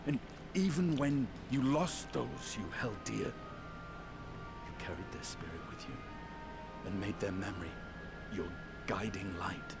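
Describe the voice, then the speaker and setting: A man playing a grave, quietly loyal elven warrior in a video game, speaking in a measured, solemn way. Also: fairly low pitch, vocal fry. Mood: grave, earnest. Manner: slow, measured, solemn delivery. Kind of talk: video game cutscene acting. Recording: game audio with music underneath.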